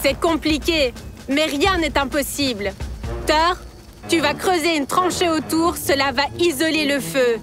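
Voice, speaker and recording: A woman's voice: high voice, a little slow. A woman voicing a sensible, knowledgeable young cartoon dinosaur who explains and takes charge decisively. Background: cartoon music.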